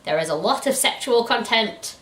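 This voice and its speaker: A woman co-hosting a book review channel, chatting casually in an animated, incredulous way. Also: on the high side, normal speed, far from the mic. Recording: echoey room, away from the mic.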